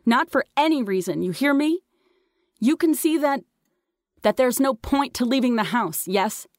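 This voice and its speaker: A woman's voice: high-pitched, animated, normal speed, no echo, studio quality, pitched above the performer's own voice. A woman voicing a protective, besieged mother who urgently calls out orders to her children in an audiobook. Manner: raised, insistent, ordering. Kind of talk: audiobook character dialogue.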